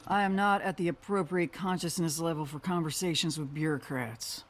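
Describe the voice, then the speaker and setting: Woman playing a gruff cowgirl bounty hunter. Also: low voice, moderate pace, slight background noise, lightly acted. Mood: wry, grumpy. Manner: dry, sardonic, folksy. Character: gruff, world-weary female mech-pilot bounty hunter in a post-apocalyptic western. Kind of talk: video game cutscene acting. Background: background music.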